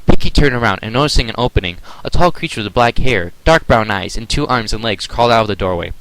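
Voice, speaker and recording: A man's voice: mid-range voice, animated, fast talker, fairly bright tone, no echo, low-quality recording. A man reading his own fan fiction aloud late at night with a sore throat, casual and hesitant. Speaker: amateur reader. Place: home at night.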